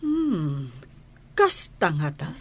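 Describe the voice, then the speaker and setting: A woman's voice: low-pitched, wildly swooping pitch, very slow, a little mellow, breathy edge, dry recording, heavily acted. A woman with an Ilocano accent playing a matter-of-fact office supervisor in a radio comedy drama. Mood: firm.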